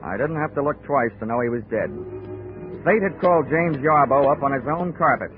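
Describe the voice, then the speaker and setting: A man's voice: on the high side, animated, a little fast, phone-quality sound, over music, close to an everyday voice. Actor as a hard-boiled male investigator. Dry wisecracks, blunt. Old radio broadcast with score. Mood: wry. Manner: dry, wisecracking narration and blunt tough-guy talk. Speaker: narrator and lead. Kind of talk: 1940s radio acting.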